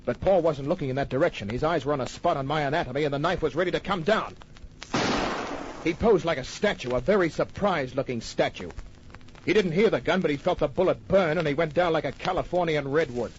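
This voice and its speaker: An actor playing a tough, wry private detective in an old radio crime drama, laconic and dry, heard on an old muffled recording. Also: male voice, fairly high pitch, fast talker, slight background noise, natural voice. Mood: hard-boiled narration. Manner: laconic, dry, hard-boiled.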